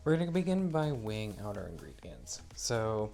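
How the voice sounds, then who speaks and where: A man's voice: lowish voice, wildly swooping pitch, normal speed, vocal fry, mellow, faint music behind. A man getting over a cold, sounding stuffy and a bit wheezy, cheerfully walking through a hands-on demonstration step by step. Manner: upbeat, explains each step as he works. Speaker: maker demonstrating a product. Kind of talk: casual how-to demonstration.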